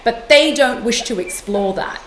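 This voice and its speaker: A middle-aged woman from London, speaking as an interview guest in a passionate, emphatic way with quick restarts. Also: fairly high pitch, fairly bright tone, roomy sound, a little hiss or hum. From London, England. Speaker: guest author and yoga teacher. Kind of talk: conversational interview.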